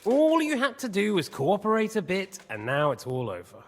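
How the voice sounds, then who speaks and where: An actor playing a sadistic, mocking commander who toys with prisoners in a leisurely, falsely courteous way, in a video game cutscene. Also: male voice, high-pitched, huge pitch swings, normal speed, heavily acted. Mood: smug, mocking. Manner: taunting, leisurely, theatrically courteous. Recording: music behind the voice.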